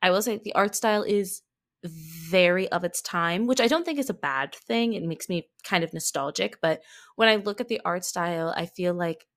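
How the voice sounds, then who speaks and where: A woman's voice: fairly low pitch, expressive, normal speed, fairly bright tone. A woman talking as a podcast guest in a casual, chatty way, telling things expressively with self-deprecating asides. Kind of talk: casual chat about anime.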